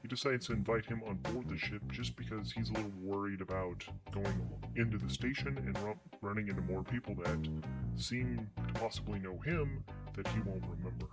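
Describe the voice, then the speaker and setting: A man's voice: fairly low pitch, a little fast, gravelly. A man narrating a tabletop roleplaying story as game master, in a conversational, improvised way. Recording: loud guitar music behind the voice.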